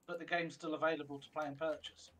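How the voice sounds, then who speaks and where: A man's voice: fairly high pitch, level tone, fast, a bit bright. A man, co-hosting a gaming podcast in rambling, opinionated casual chat. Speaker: podcast co-host.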